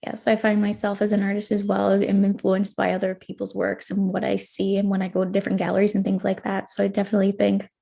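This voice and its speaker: A woman's voice: medium pitch, completely flat delivery, fast, vocal fry, few pauses, dark tone, breathy edge. A woman hosting a college radio show, interviewing a guest with friendly, affirming questions.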